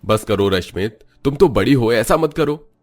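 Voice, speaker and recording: A man's voice: mid-range voice, animated, moderate pace, darkish tone, natural voice. Man acting a placating cartoon husband, music underneath. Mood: reproving. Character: placating husband in a Punjabi family.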